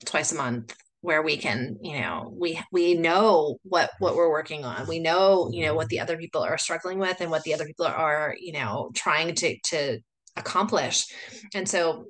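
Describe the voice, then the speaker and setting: A chatty woman from the United States hosts a solo podcast episode. Her voice sits fairly low but sounds bright and forceful. She wanders through her thoughts, leaning on plenty of "like" and "you know.". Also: normal speed. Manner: chatty, rambling, full of "like" and "you know" fillers. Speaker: podcast host. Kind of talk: casual solo podcast talk.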